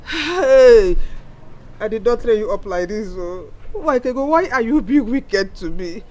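A woman's voice: fairly high pitch, animated, a little slow, darkish tone. A woman voicing an older, poor Nigerian village mother who pleads, complains and guilt-trips, switching into Igbo. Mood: pleading. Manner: wailing, guilt-tripping, mixes Igbo into English.

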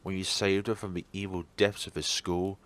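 A man's voice: low-pitched, little pitch movement, moderate pace, fairly bright tone. A man voicing a playful, teasing teenage schoolboy from a visual novel, breezy and sing-song.

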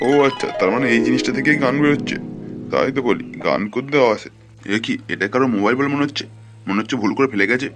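A grumbling, cowardly working man in a cartoon, played by a man. His voice is mid-pitched and greedy in temper, with loud music behind it. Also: close to an everyday voice. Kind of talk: cartoon voice acting.

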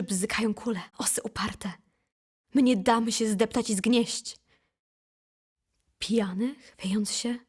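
A woman reciting poetry for an audio drama in an intense, solemn, deliberate manner. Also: mid-range voice, breathy edge. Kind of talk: dramatic poetry recitation, from whisper to impassioned cries. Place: recording studio.

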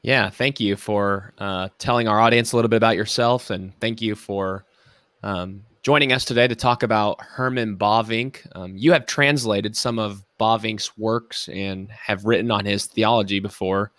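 A man's voice: fairly low pitch, steady tone, a bit bright, dry recording, clean studio sound. A man hosting a podcast, welcoming his guest politely and asking questions with some ums and uhs. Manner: polite, a bit halting, with uh and um. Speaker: podcast host. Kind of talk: casual interview hosting.

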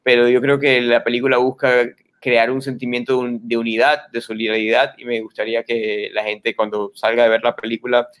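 A man's voice: mid-range voice, level tone, brisk, hardly any pauses, a bit bright, clear, resonant tone. A young man, a filmmaker guest, giving thoughtful, reflective answers over headphones on a video call. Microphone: headphones with mic on a video call.

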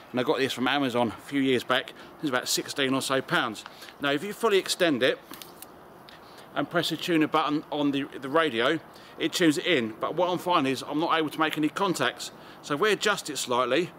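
A man's voice: a little high, fairly bright tone. A man in his forties with an English accent, presenting casually to camera as an amateur radio vlogger outdoors. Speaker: amateur radio video host. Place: outdoors in a garden and open high spot. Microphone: camera or phone at about arm's length. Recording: outdoor recording with background ambient noise.

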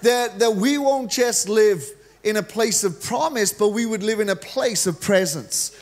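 A middle-aged man with a Welsh accent delivers an energetic, humorous sermon, his voice sitting notably high for a man. He speaks into a handheld mic on a large church stage. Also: unhurried. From Wales. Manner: energetic, joking preacher, gestures freely while pacing the stage. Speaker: guest preacher.

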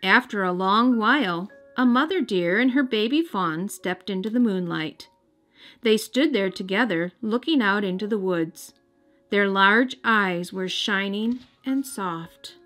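American lady reading a kids bedtime story, gentle n careful, medium pitch, music behind her. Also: measured pace. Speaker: children's storybook reader and host. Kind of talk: scripted read-aloud narration. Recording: music under the voice.

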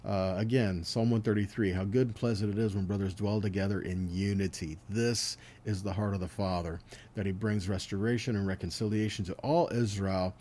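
A man's voice: on the low side, fairly even intonation, fairly quick, mellow, slight background noise. A man giving a Bible teaching, reading verses and explaining them earnestly, often checking in with his listeners. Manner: earnest, explanatory, with check-in tags like "okay?" and "right?".

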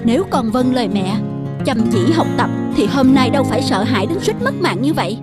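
High-voiced scolding mother frog. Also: sing-song, fairly quick, over loud music. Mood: gently lecturing. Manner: admonishing, moralizing. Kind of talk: children's cartoon voice acting.

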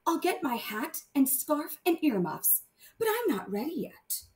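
A woman teaching primary math to young children, reading aloud and explaining deliberately with sing-song emphasis on key words. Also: high-pitched, very animated, a little mellow, roomy sound.

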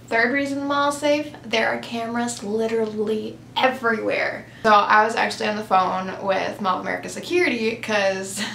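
A woman's voice: fairly high pitch, gravelly, a bit bright, reverberant, a little hiss or hum. A young woman in her twenties vlogging casually to camera, upbeat and chatty with lots of "like" and "um" fillers. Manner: chatty, upbeat, many "like" and "um" fillers, laughs often. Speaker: vlogger, mall retail worker. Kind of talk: casual vlog talking to camera. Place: small plain room, indoors, grey backdrop.

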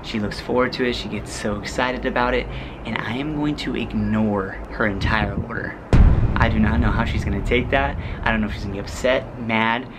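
A man's voice: fairly low pitch, fairly even intonation, fairly quick, loud music behind the voice, rough recording. A young adult American man chatting casually to a vlog camera, with the playful, hyped manner of a showman. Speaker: vlogger. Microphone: handheld vlog camera at arm's length.

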